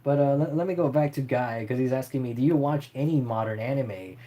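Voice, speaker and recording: A man's voice: mid-range voice, fairly quick, mellow. A man chatting casually and unscripted as a streamer answering viewer questions, in a rambling, hesitant way.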